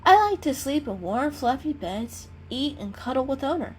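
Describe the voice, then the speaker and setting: A woman's voice: high voice, sing-song, normal speed, a little hiss or hum, pitched above the performer's own voice. A woman voicing a small round tuxedo kitten, earnest and a little hesitant, in a storybook reading.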